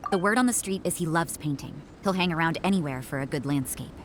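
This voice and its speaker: A woman's voice: low voice, fast, a little hiss or hum, close to an everyday voice. A woman playing a jaded, aloof adult church sister in a video game, dry, weary and unimpressed.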